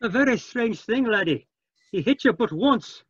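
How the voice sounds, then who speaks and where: Guy acting a old scottish fella for a radio drama, really high husky voice, kind of muffled dry sound. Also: animated, normal speed, a bit bright, no room sound, exaggerated voice. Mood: matter-of-fact, curious. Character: genuine old Scotsman who loves life.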